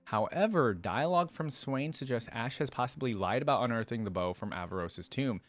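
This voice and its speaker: A man's voice: mid-range voice, animated, fairly quick. A man hosting a reaction video, reading aloud and commenting in a casual, informal, curious way. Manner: informal, curious, frequent "like" and "kinda".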